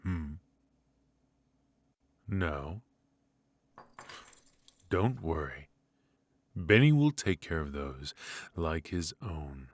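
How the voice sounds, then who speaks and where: A man playing a suave, menacing mafia boss in a noir ASMR roleplay, measured and mock-courteous, with a husky voice. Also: a bass voice, big pitch swings, no room sound, full character voice. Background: faint music.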